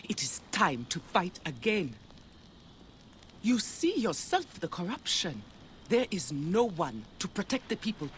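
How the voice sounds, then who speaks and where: An actress playing a stern, principled ancient Egyptian official who urges others to act, in a dramatic game cutscene. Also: female voice, lowish voice, huge pitch swings, unhurried. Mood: earnest, urging.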